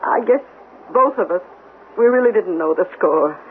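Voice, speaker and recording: A woman's voice: on the low side, animated, normal speed, natural voice. An actress playing a fiercely protective, middle-aged working-class mother, speaking emphatically in a 1970s radio drama. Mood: regretful. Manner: emphatic, insistent. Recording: tinny, noisy old radio broadcast.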